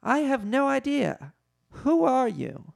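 Male storyteller as female general, high-pitched, imperious, short-tempered, indignant, comic space tale. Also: big pitch swings, slow pace, vocal fry, heavily acted. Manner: indignant shouted demands. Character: haughty, furious woman general, space princess.

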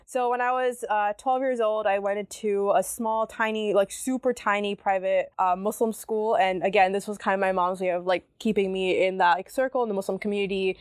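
A woman's voice: mid-range voice, steady tone, a little fast, few pauses, dry recording, studio quality. A young woman guest telling stories from her childhood in a casual, chatty way, using many "like" and "you know" fillers. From United States. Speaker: guest, student activist. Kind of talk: casual conversational storytelling.

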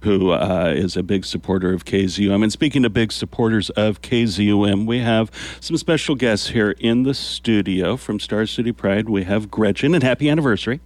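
A man hosting a community radio show from the studio, talking in a relaxed, rambling way with many fillers. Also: lowish voice, brisk, hardly any pauses, no room sound. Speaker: radio host. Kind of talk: casual community radio chat. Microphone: studio microphone.

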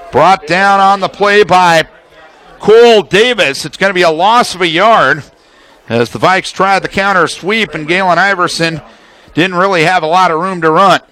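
A man's voice: high voice, bright, forceful tone. A man doing live radio play-by-play of a football game, calling the action in clipped, detailed phrases. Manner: rapid-fire situational detail in clipped phrases.

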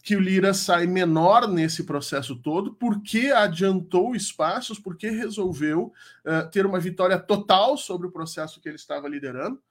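A man's voice: high voice, moderate pace. A man with a cold, speaking as a political commentator and teacher. He explains at length, with many fillers and restarts. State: congested with a cold. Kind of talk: casual live show commentary.

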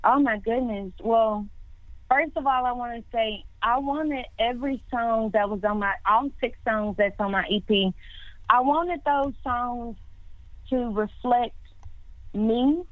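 A woman's voice: on the high side, measured pace, no echo. A woman in her twenties, a singer, answering interview questions in a casual way, often repeating words and restarting. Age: 20s. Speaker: singer guest. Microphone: phone line. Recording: tinny dry phone line.

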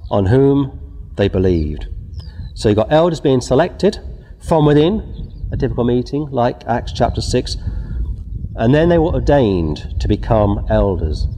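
A man's voice: medium pitch, mellow, long reverb tail. A man preaching a sermon outdoors, reading scripture and pressing his warnings with emphasis. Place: outdoors before scenery.

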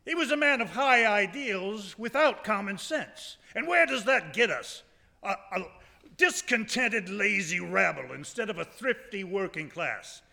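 An actor playing a villainous small-town tycoon in a live radio play, with haughty, scornful oratory in an echoey hall. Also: male voice, sits high, animated, a little slow, vocal fry, bright, forceful tone, exaggerated voice.

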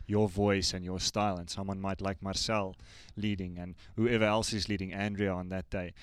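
A man co-hosting a church conversation, asking questions in a halting way and restarting his phrases. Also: low-pitched, little pitch movement, smooth, dry recording. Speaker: church podcast co-host. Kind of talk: casual church podcast chat.

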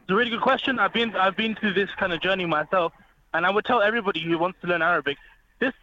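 Fast, bright-voiced man on a dull line. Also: high-pitched. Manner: earnest, fluent, lecturing. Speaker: phone-in guest. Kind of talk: explaining on a radio show. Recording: dull phone-line sound with faint hiss.

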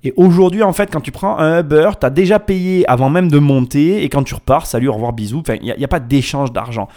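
Animated, digressive podcast host. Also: male voice, on the high side, quick pace. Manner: informal, playful, slangy, emphatic, digressive. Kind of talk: casual solo podcast monologue.

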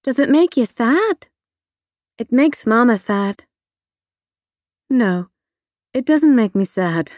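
A woman's voice: fairly high pitch, animated, takes it slowly, pauses often, a little mellow, no room sound, clean studio sound. A woman voicing a chatty, blunt little girl of about five in an audiobook, full of childlike questions. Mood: matter-of-fact. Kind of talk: audiobook character voice.

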